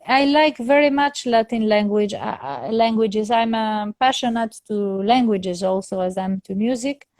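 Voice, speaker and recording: A woman's voice: on the high side, animated, normal speed, few pauses, darkish tone, dry recording. A woman, a soprano opera singer, speaking English as a second language in a casual interview, hesitant, with many fillers and restarts. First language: not English.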